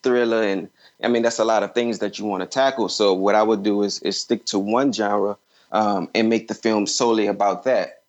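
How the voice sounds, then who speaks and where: Low-voiced man, dull lo-fi sound. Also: steady tone, normal speed. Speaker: filmmaker guest. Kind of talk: casual interview answers.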